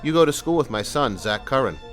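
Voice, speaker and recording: A man's voice: medium pitch, lively intonation, brisk, faint music behind, lightly acted. A man playing a patient, reassuring police sergeant in an audio drama. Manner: reassuring, plain-spoken, patient. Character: calm, fatherly male police sergeant negotiating.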